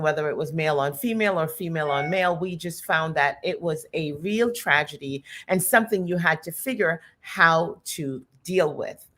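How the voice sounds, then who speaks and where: A woman's voice: fairly low pitch, a bit bright. Upbeat woman talk show host. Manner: upbeat, emphatic presenter.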